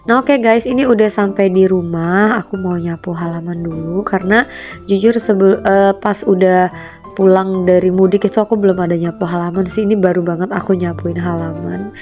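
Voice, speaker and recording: A woman's voice: lowish voice, fairly even intonation, normal speed, darkish tone, faint music behind. A young woman narrating a casual home vlog in a chatty way, her voice a little stuffy from a cold. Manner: chatty, fillers like gitu and guys. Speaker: vlogger, homemaker.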